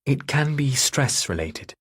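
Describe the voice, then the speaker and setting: A man's voice: mid-range voice, very animated, measured pace, fairly bright tone, breathy edge, pitched above the performer's own voice, full character voice. A man voicing a friendly, reassuring female TV interviewer in an audiobook.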